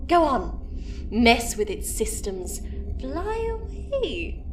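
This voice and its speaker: A woman's voice: high voice, big pitch swings, drawn-out words, darkish tone, soft background music, full character voice. A woman playing a mocking, theatrical time-travelling villainous nun in an audio drama, with a grandiose, drawling delivery. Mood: mocking menace.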